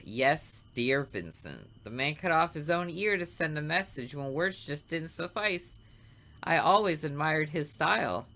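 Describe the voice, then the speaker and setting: A man voicing a smug, artistic serial killer who narrates a visual novel with deliberate, theatrical, morbid relish. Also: on the high side, pushed and bright, full character voice.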